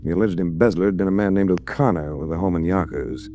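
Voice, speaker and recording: A man acting as a hard-boiled investigator from forties radio drama. His voice sits fairly low, and he delivers narration and dialogue with a dry, wry, unhurried air. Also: warm tone, a little hiss or hum, natural voice. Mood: sober, probing.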